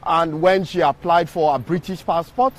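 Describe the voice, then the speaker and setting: A man with a slightly high voice answers a street interviewer, pausing often and filling gaps with "um" as he explains. He speaks into a handheld mic outdoors. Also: steady tone. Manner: hesitant, many pauses and fillers. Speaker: interview guest. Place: outdoors on a city street outside a court. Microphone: handheld mic from the streamer.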